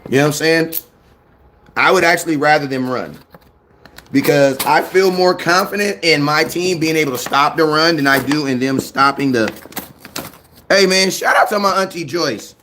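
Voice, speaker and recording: Excitable young man with a high voice. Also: normal speed, slight background noise. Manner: excitable, repeats himself, slangy. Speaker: sports fan show host. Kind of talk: casual live-stream chat.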